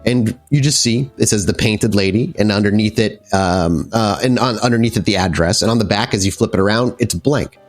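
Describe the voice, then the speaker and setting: A man narrating an improvised tabletop game as game master, descriptive and somewhat halting. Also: on the low side, faint music behind.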